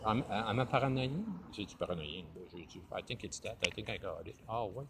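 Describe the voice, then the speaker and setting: A man in his fifties with a Quebec French accent rambles on in a self-mocking way, his fairly low voice swinging widely up and down. He talks into a phone held at arm's length outdoors, with clear street noise and passing cars behind him. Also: expressive, quick pace. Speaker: vlogger. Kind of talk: improvised comedy vlog, talking to an imagined partner. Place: outdoors by a stone wall under trees.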